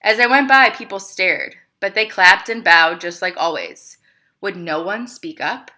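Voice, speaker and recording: A clever, slightly sheepish emperor read by a woman tells his own storybook tale. The voice is bright and mid-pitched, confiding and expressive, full of rhetorical questions and self-justification. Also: measured pace. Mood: scheming, dramatic. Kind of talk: children's storybook read-aloud.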